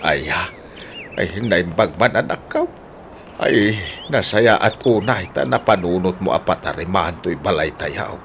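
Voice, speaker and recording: Man acting deep-voiced, excitable old village father. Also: a little slow, a little hiss or hum, full character voice. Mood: moved, apologetic. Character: elderly village father, excitable and easily astonished. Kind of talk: comic Ilocano radio drama acting.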